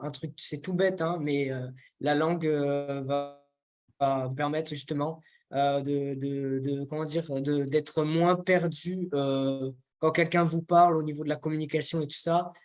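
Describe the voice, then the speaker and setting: A young man from France, co-hosting a casual discussion and often pausing to search for words. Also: on the high side, darkish tone. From France, near Toulouse. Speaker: co-host.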